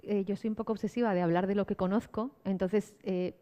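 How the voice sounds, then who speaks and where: A woman's voice: medium pitch, steady tone, a little fast, warm tone. A woman from Spain answering informally as a panelist, digressive and wry, often interrupting herself. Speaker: podcast creator and cultural studies lecturer, panelist. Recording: reverberant hall, slightly dull sound.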